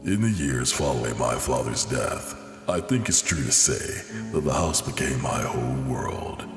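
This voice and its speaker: A man voicing a haunted, melancholy man recalling his childhood in a motion comic, solemn and measured. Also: a bass voice, animated. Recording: long echoing reverb under loud music.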